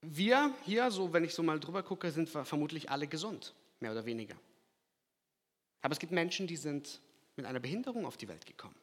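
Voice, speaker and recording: Brief: male preacher, fairly high voice, animated sermon delivery, long church-hall reverb. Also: a little fast. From Kazakhstan. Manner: animated, swings from loud emphasis to quiet asides.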